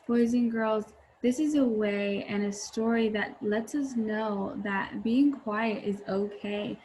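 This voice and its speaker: A woman's voice: fairly high pitch, a little mellow, dull audio. A woman teacher reading a storybook aloud and explaining it simply to young children. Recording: faint background noise.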